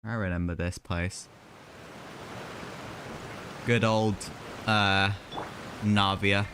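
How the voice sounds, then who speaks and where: A man's voice: lowish voice, steady tone, drawn-out words, background noise. A young man livestreaming a game with casual, chatty, offhand joking commentary. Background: game music and dialogue.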